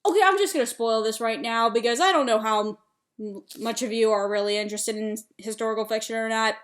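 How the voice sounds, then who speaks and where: Young female vlogger, slightly high pitch, casual and chatty, prone to exasperated rants. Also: a little fast. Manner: chatty, frequent ums, rising exasperation. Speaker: book vlogger. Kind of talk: casual book review.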